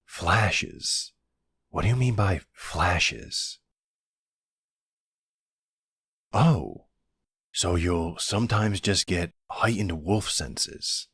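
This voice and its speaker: Casting: male actor as supportive boyfriend for intimate roleplay audio; low, very husky voice, halting and gently questioning. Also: exaggerated voice. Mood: surprised, curious. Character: supportive, puzzled boyfriend of a werewolf, adult man.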